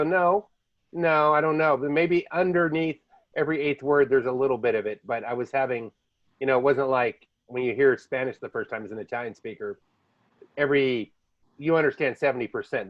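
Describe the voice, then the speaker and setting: A middle-pitched man tells loose, rambling stories as he hosts a casual podcast conversation. The recording sounds dull and muffled, with no room echo at all. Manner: rambling storyteller, jumps between languages. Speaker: podcast host.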